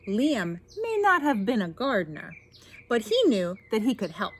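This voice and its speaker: Animated, unhurried woman reading to children. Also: mid-range voice. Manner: addresses young listeners, asks guiding questions. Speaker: teacher reading a picture book aloud. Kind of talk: expressive children's read-aloud with asides. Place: outdoors in a backyard garden. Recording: outdoor recording, faint noise, birdsong. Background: birdsong, faint outdoor noise.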